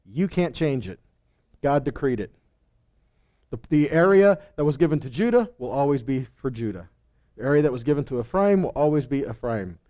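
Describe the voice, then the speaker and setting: A man's voice: medium pitch, lively intonation, normal speed, mellow, muffled recording. A man giving a conversational Bible teaching, explaining didactically and often posing questions that he then answers himself.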